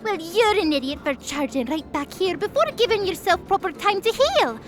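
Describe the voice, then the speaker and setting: A woman's voice: very high voice, animated, normal speed, faint music behind. An actress voicing a cheerful, caring young girl with a bubbly, earnest manner.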